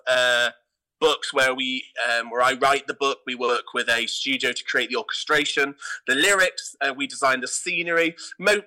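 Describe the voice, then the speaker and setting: A middle-aged man, telling stories casually as an interview guest, in an animated way. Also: mid-range voice, rough recording. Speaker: theatre artistic director, podcast guest.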